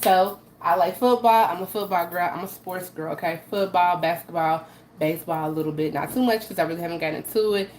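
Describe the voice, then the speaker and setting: A woman with a raspy, slightly low voice talks viewers through a recipe in a casual, chatty way, checking in with "okay?" again and again. She is recorded in a roomy, echoey home kitchen with faint noise behind her. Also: fairly quick, darkish tone. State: raspy from allergies. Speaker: host of a live cooking stream. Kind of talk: casual live cooking demo talking to viewers. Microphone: camera she adjusts by hand.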